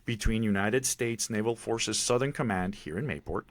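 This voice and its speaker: Middle-aged Puerto Rican man, low voice, close and dry, animated emphatic podcast guest. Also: moderate pace, no room sound. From Puerto Rico. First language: Spanish. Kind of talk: casual storytelling interview.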